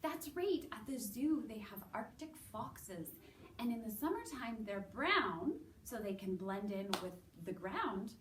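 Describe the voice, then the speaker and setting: A woman with a Canadian accent presenting to young children as a story time host, in an animated way with simple, drawn-out wording. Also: on the high side. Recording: roomy, distant mic with background noise.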